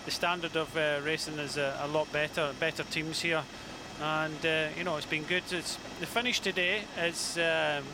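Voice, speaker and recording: Higher-voiced male race analyst, very noisy background. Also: steady tone. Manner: halting, explanatory, frequent hesitations. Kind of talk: live cycling analysis, casual. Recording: loud vehicle and outdoor rumble.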